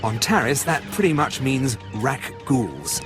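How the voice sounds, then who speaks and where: A man's voice: medium pitch, sing-song, slow pace, music in the background. An actor playing an earnest male science professor in a video game, explaining things in an academic manner. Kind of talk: video game cutscene acting.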